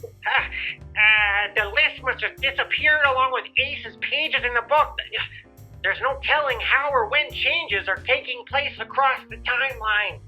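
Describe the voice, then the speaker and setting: A woman's voice: a little high, measured pace, pushed and bright, faint music behind, exaggerated voice, low-quality recording. A woman voicing a hesitant, stammering crewwoman. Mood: thoughtful.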